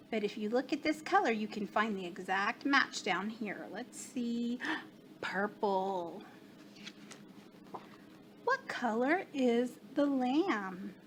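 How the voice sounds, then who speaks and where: Slow sing-song woman teaching small kids. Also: on the high side, slight background noise. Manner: slow, sing-song, encouraging, with gasps of surprise. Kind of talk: children's lesson.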